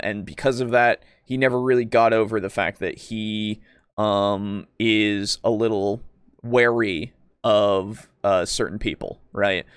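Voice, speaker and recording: A man co-hosting a casual discussion stream, halting and wordy as he circles back to qualify his points. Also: fairly low pitch, smooth, dry recording, clean studio sound. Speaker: podcast host. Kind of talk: casual discussion, commenting on a video for a live stream.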